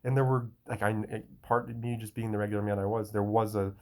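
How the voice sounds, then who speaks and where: Young adult man, lowish voice, loose rambling solo talk with many restarts. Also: little pitch movement, quick pace. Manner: rambling, frequent restarts and fillers. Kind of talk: casual solo monologue.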